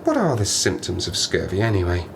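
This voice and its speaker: An actor playing a stranded Arctic survivor in an audio play, a sardonic, haunted man who talks haltingly and rambles in agitation. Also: low-pitched, huge pitch swings, gravelly, heavily acted. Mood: wry, rambling. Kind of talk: dramatic audio play monologue. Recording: noisy ambience behind the voice.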